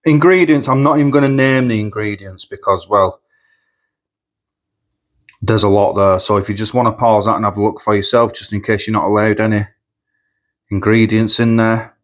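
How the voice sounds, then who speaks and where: A man's voice: fairly low pitch, fairly even intonation, moderate pace, long pauses. A man reviewing supermarket food at home, chatty and rambling, sniffly with a cold coming on. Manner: chatty, rambling, repeats himself. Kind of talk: casual food review vlog. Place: home kitchen.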